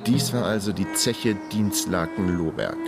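A man's voice: deep voice, expressive, measured pace, creaky, over loud music, full character voice. An actor playing a world-weary investigator who narrates his own radio crime drama in a dry, ironic manner. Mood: wry, weary. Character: world-weary, wry male investigator hero. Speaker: narrator and lead character.

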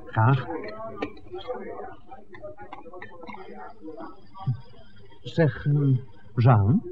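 A man's voice: mid-range voice, extremely slow. Inquisitive man, tinny old recording. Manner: questioning, conversational, hesitant asides. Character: inquisitive male film-maker, outsider in village. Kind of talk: 1960s radio play acting. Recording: tinny, noisy 1960s radio recording.

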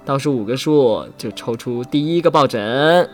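Man, lively intonation, agreeable, casual livestream co-host, faint music behind. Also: mid-range voice, normal speed. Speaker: co-host of a livestream for an audio drama.

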